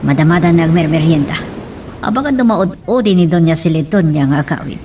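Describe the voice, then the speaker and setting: Casting: domineering scheming matriarch, mid pitch, off-mic, Ilocano accent, radio drama, noisy ambience. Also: female voice, lively intonation, brisk, dark tone, far from the mic. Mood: cold, plotting.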